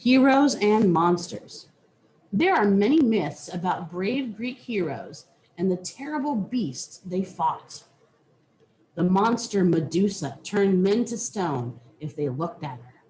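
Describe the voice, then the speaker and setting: A woman reading a children's nonfiction book aloud with deliberate, teacherly phrasing. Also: mid-range voice, big pitch swings, darkish tone, slight background noise, poor audio. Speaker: reader.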